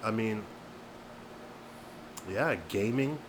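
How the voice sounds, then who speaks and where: A man in his thirties, a rapper guest, talking in a relaxed, low-key way that trails off softly in casual interview chat. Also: drawn-out words, slight background noise. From Canada. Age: 30s.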